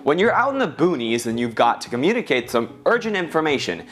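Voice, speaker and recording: A young American man presenting a scripted explainer in an upbeat, expressive way. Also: a little high, fairly quick. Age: young adult. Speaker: science video presenter. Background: background music.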